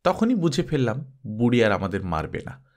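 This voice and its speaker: A man narrates a story in the manner of an audiobook. His voice sits at an average pitch. He reads expressively, as a storyteller does. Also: sing-song, normal speed, darkish tone.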